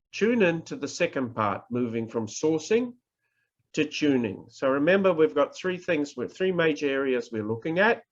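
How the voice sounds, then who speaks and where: Middle-aged male lecturer, New Zealand accent. Slow, emphatic delivery with widely swinging pitch, instructional tone, muffled video-call sound. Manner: deliberate, emphatic, with pauses and repetition. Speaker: professor teaching public speaking. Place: indoors, online presentation. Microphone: video call microphone.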